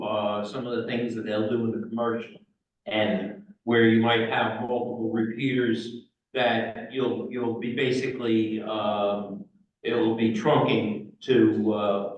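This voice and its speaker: A man speaks up informally from the audience with a technical remark. He is far from the microphone in a heavily reverberant room, with a muffled sound and an average pitch. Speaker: club member commenting from audience. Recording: very echoey room, distant, muffled.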